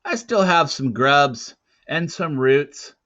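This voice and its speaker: Kindly old cave woman, motherly and slow, played by a male reader, storybook, pitched high, dull recording. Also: animated, sharp tone, exaggerated voice. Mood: reassuring.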